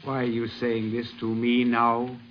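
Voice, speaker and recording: An actor playing an earnest, grateful young miner turned scholar, speaking solemnly and haltingly in formal phrases in a radio drama. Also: male voice, mid-range voice, completely flat delivery, lightly acted. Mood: hurt, bewildered. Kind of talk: 1950s radio drama acting. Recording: muffled vintage radio recording.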